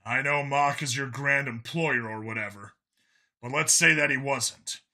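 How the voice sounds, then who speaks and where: A principled commander of a police team, played by a male narrator, speaks with measured decisiveness. The voice is middling in pitch and very bright and forceful, in storybook dialogue. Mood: pensive, probing. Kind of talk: audiobook character dialogue.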